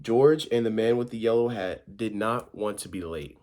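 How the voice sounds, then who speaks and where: A man's voice: lowish voice, moderate pace, darkish tone, slight background noise. A man reading a children's story aloud as a volunteer, informal and occasionally stumbling over his words.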